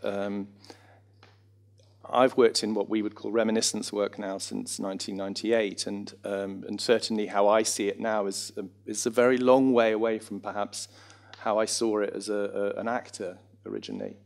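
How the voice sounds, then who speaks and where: Low-voiced, reflective man. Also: level tone, moderate pace, vocal fry, darkish tone. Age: middle-aged. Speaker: panellist, reminiscence arts practitioner and former actor. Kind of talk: informal panel talk. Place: small theatre stage in front of an audience. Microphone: handheld microphone.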